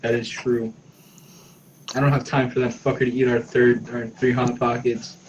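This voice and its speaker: A man's voice: mid-range voice, level tone, moderate pace, highs cut off, slight background noise. A man bantering casually and swearing freely as a player in a tabletop roleplaying game.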